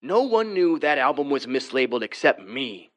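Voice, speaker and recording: An actor playing a friendly, apologetic record dealer in an audio drama, casual and a little hesitant. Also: male voice, medium pitch, big pitch swings, moderate pace, lightly acted. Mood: friendly, uneasy.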